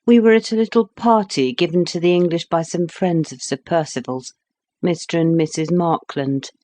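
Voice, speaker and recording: A man's voice: fairly high pitch, normal speed, darkish tone, lightly acted. A man reading a weary young wife. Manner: weary, subdued, evenly told. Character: young wronged wife, weary, calm and cold.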